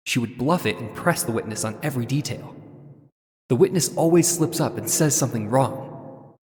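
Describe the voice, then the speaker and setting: An actor playing an earnest, uncertain but determined defense lawyer with a dramatic manner in a video game dub. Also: male voice, mid-range voice, long reverb tail. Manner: dramatic, alternating asides and courtroom declarations.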